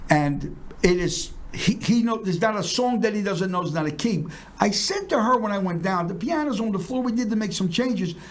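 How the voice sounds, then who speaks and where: Rambling high-voiced showman host. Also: lively intonation, moderate pace. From South Philadelphia. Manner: boastful, rambling, jokey showman. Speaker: talk show host. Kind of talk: casual promotional chat.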